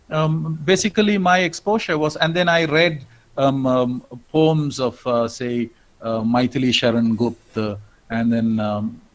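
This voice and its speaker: A middle-aged man with an Indian English accent, giving a reflective lecture as a professor and pausing often on "um" and "uh". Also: on the high side, poor audio. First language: not English. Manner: reflective, with frequent "um" and "uh" pauses.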